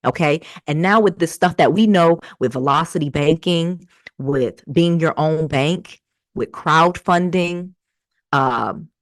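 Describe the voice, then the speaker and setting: This is an American woman in her forties with a slightly low voice. She speaks informally, like a coach hosting a live session, leaning hard on key phrases and repeating them to persuade. The sound is dry, with very little room in it. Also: slow pace, dry recording. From Connecticut, United States. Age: 40s. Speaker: real estate investor and coach hosting a livestream. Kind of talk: casual motivational livestream talk.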